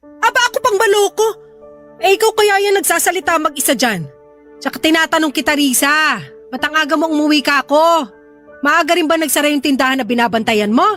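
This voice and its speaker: Shrill, very high-pitched scolding Filipino mother. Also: a little fast, a bit bright, soft background music. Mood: teasing, nosy. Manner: scolding, exclamatory, threatening. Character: hot-tempered, nagging, gambling widowed Filipino mother. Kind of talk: melodramatic Filipino audio drama acting.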